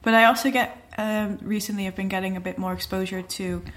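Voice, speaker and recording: A woman's voice: medium pitch, fairly even intonation, brisk, fairly bright tone. Chatty Dutch woman laughing a lot. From Netherlands. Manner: chatty, laughs often, many fillers. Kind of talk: casual chat.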